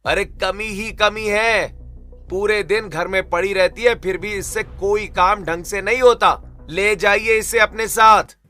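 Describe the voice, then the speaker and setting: A hot-tempered young husband from a dramatized family story, acted by a male narrator, snaps and scolds with open contempt. The voice is raised and forceful, pitched on the high side, with faint music underneath. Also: steady tone, measured pace. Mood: contemptuous anger. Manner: shouting, scolding, impatient.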